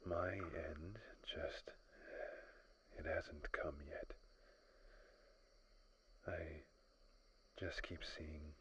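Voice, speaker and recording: A man playing a weary, haunted lone crash survivor recording halting, murmured log entries in a horror audio drama. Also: steady tone, exaggerated voice. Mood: haunted, resigned. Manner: halting, brooding, trailing off. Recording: faint noise and low rumbling ambience.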